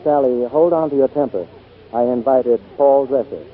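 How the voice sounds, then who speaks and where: A man's voice: mid-range voice, moderate pace, close to an everyday voice. Man playing a gallant producer, tinny radio drama. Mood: genial. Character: genial, smitten male theatrical producer, gallant and forgiving. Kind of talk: 1940s radio acting. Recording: tinny 1940s radio broadcast.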